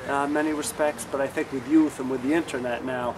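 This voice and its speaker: A man's voice: medium pitch, fairly even intonation. Man explaining a student program outdoors. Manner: measured, explanatory, many "uh" fillers. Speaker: landscape architecture professor leading a student program. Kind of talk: on-site interview. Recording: noisy outdoor street recording.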